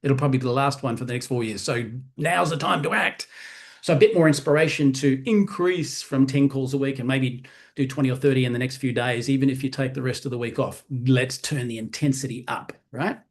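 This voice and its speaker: An Australian man in his fifties giving a motivational training talk in an animated way, often checking in with "right?". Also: mid-range voice, brisk. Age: 50s. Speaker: sales trainer.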